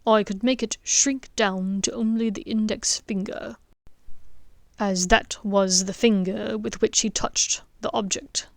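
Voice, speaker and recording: A woman reading the part of a stern, precise male wizard, speaking slowly in a low, hushed way. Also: steady tone, vocal fry, dry recording.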